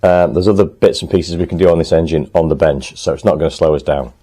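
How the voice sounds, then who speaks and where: A man talking casually to the camera in his home workshop, thinking aloud as he works, a little hoarse. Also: very deep voice, fast, dark tone. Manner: chatty, thinks aloud while working, frequent ums. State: slightly hoarse, clearing throat. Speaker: motorcycle restorer and channel host.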